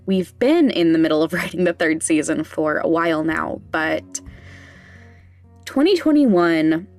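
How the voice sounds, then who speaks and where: A woman hosting a podcast, talking candidly and casually in a hesitant, halting, self-conscious way. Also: low voice, animated, darkish tone, faint music behind. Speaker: podcast host.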